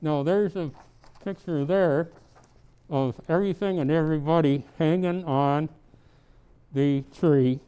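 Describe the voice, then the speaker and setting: A man tells holiday stories in the part of Santa. His voice is very clear and smooth, and he takes his time with each phrase, reading in an animated, conversational way. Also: a little high, unhurried, pauses often, low-quality recording. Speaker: storyteller presenting as Santa Claus. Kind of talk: storybook read-aloud with casual chat.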